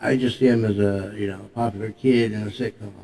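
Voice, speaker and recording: A man's voice: lowish voice, fairly even intonation, fast, dark tone, poor audio. An older man co-hosting a podcast, speaking casually and bluntly with firm opinions. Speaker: podcast co-host. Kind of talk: casual chat.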